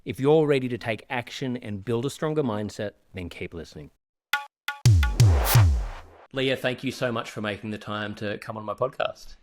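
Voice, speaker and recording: A man hosting a podcast, reading an earnest, measured introduction and then chatting with his guest. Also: mid-range voice, over loud music. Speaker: podcast host. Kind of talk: scripted podcast intro, then casual interview.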